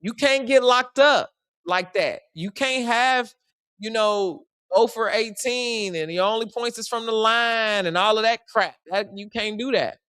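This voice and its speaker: A woman's voice: a little slow, a bit bright, clean tone, dry recording, studio quality. A woman, chatting bluntly with her live-stream audience. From Chicago. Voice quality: deep voice. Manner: blunt and slangy, talking straight to the chat. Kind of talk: casual live-stream chat with viewers.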